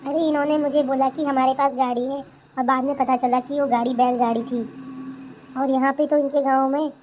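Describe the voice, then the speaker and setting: A woman's voice: high voice, level tone, a little fast, lo-fi sound, a little hiss or hum, close to an everyday voice. A woman voicing a defiant, complaining young daughter-in-law in a comedy cartoon. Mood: exasperated. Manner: indignant, complaining, talks back. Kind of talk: Hindi cartoon family comedy dubbing.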